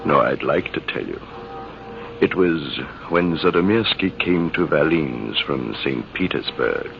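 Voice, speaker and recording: A man playing a reflective army major in an old radio drama, telling his story in a measured, theatrical way with a gravelly voice. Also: rumbling low, lively intonation, exaggerated voice. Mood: reminiscing. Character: older army major recalling a past rival, reflective, measured. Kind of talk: 1940s radio drama acting. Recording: noisy, muffled vintage radio recording.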